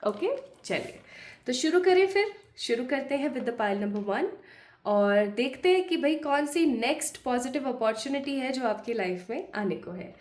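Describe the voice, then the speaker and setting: Woman, tarot reader, slightly hoarse from a cold, higher voice, chatty and direct, echoey room. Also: expressive, unhurried. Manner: chatty, addresses viewers directly, mixes English phrases. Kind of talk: pick-a-pile tarot reading to camera.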